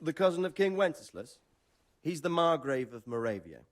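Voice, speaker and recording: An actor playing a wary, fatherly middle-aged medieval nobleman in a game cutscene, speaking in a measured, earnest way. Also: a little high, big pitch swings. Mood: explaining. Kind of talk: video game cutscene acting.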